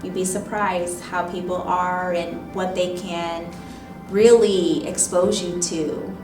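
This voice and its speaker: A woman in her thirties, a teacher, reflecting thoughtfully in an oral history interview, often pausing with "um" and "you know". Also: lowish voice, steady tone. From Michigan, raised in Ohio. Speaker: teacher and instructional coach. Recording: roomy, distant mic with music behind.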